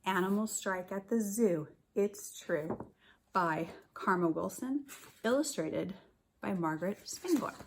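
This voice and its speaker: A woman teacher reading a picture book aloud to young children, expressively and deliberately, drawing out her words. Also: medium pitch, slight background noise. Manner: deliberate, animated storytelling with drawn-out words.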